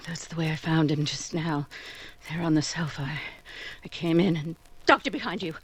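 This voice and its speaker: A woman playing a shocked young woman who speaks haltingly in a 1940s-style radio drama. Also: deep voice, breathy edge, no room sound. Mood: shocked, hushed. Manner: halting, stammering. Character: shocked, faltering young woman, banker's eldest daughter.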